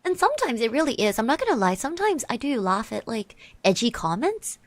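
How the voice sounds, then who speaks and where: A woman speaking softly in an ASMR roleplay, gently reassuring the listener, musing with pauses and little hums, close to the microphone. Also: a little high, very animated, dry recording. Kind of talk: soft-spoken ASMR roleplay, personal encouragement. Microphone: close microphone, dry.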